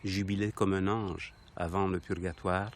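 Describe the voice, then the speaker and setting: Low-voiced man reciting poetry. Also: level tone, moderate pace, slight background noise. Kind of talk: poetry reading.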